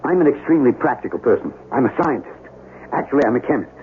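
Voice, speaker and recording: A man's voice: medium pitch, expressive, slight background noise, lightly acted. Guy playing a rattled earnest scientist in an old radio drama, voice swings up and down, muffled phone-like sound. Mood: insistent. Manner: halting, insistent, formal diction. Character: agitated, earnest male scientist doubting his sanity. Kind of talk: 1970s radio drama acting. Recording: muffled vintage radio broadcast recording.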